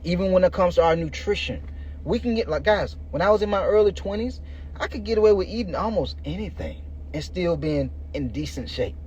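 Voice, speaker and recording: A man's voice: high voice, animated, fairly quick, darkish tone. A man in his thirties hosting a fitness podcast, giving an informal motivational monologue in slangy, colloquial language aimed straight at listeners. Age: 30s. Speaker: podcast host and fitness coach. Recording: muffled, noisy lo-fi recording.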